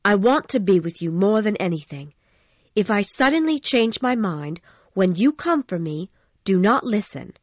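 A man's voice: big pitch swings, moderate pace, no room sound, exaggerated voice. A man doing a frightened young woman's voice. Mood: pleading. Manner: pleading, anxious falsetto. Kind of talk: storybook reading.

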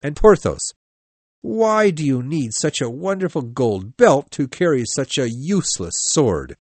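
A man playing a stern, proud, hot-tempered older captain of musketeers in an audiobook, with a forceful, commanding manner. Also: a little high, very animated, measured pace, no room sound, exaggerated voice. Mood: furious, scolding. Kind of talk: audiobook dialogue acting.

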